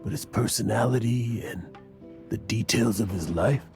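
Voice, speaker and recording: An actor playing a weary, guilt-ridden old adventurer in a game, with halting, heavy-hearted, emotional delivery. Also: male voice, fairly low pitch, vocal fry, a little mellow, faint music behind. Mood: despairing. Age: older. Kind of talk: dramatic game voice acting.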